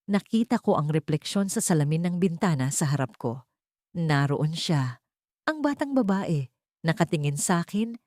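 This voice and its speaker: A woman narrates a scripted horror story in the first person. Her voice sits low and mellow, recorded very dry and close. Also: no echo.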